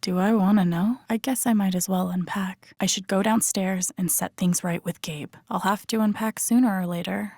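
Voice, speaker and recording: An actress plays a young American woman as an introspective video game heroine. Her voice sits at a middling pitch with a gravelly fry, recorded very dry and close. She talks to herself in naturalistic, wry murmured asides. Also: steady tone, normal speed, few pauses, a little mellow, no room sound, natural voice. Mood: casual, wry. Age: young adult. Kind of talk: naturalistic game inner-monologue acting.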